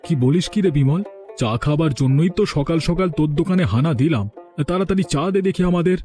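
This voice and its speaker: A man's voice: a little high, fast, mellow, close to an everyday voice. A man voicing a chatty, familiar village tea customer in a cartoon. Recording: music under the voice.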